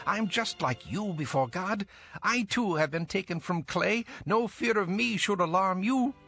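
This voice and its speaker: A high, swooping voice of an indignant young man, emphatic and oratorical, as in a Bible audio drama. He lectures his elders with fervor over music. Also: creaky, bright, forceful tone, exaggerated voice. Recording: music behind.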